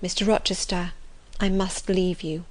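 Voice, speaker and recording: A woman's voice: fairly low pitch, little pitch movement, unhurried. Woman playing a restrained, resolute young governess. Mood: sorrowful, resolute. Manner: restrained, firm, respectful. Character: earnest, resolute young governess, self-controlled but loving. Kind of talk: audiobook character reading of classic novel.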